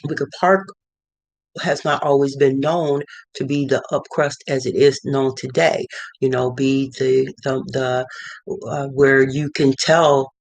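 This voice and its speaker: Middle-aged artist vlogging, mid-pitched voice, rambling with fillers, dry studio sound. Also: fairly even intonation, unhurried, dry recording, low-quality recording. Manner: rambling, many fillers and restarts. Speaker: visual artist and art consultant. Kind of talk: casual talking-head advice. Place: cluttered home studio.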